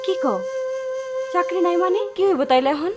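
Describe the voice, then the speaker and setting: An actress playing a gentle, supportive young wife in a drama, speaking in a coaxing, earnest way with a rural Bangladeshi accent. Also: female voice, very high voice, normal speed, over loud music. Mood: alarmed. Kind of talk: TV drama acting in dialect.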